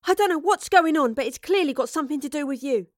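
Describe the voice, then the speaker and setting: Woman playing a breathless, rough young tomboy, loud and high. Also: expressive, fast talker, no echo, studio quality. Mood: urgent. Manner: shouting, blunt, slangy.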